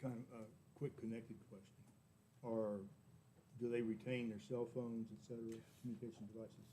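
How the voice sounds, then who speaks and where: A man, a county official, asking measured questions at a public meeting. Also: medium pitch, level tone, warm tone, slight background noise, poor audio.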